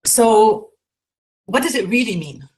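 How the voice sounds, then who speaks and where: A woman from Egypt giving a lecture over a video call, measured and with many fillers and restarts. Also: on the high side, expressive, a little mellow, low-quality recording. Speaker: keynote speaker, patient advocate.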